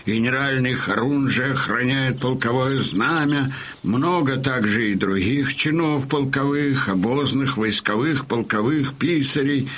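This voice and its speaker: A man's voice: medium pitch, fairly bright tone. An actor playing an old Cossack in a radio play, telling war news to his crony in a folksy, emphatic way. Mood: solemn, proud. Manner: folksy, emphatic, unhurried storytelling. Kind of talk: Russian audio drama acting.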